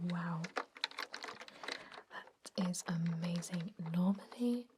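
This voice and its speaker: A woman plays a centuries-old female vampire doctor in an ASMR roleplay, whispering breathily and very slowly. The voice sits slightly low, with a soothing, attentive bedside manner. Noticeable noise runs behind the close whisper. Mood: impressed, calm. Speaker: doctor examining a patient.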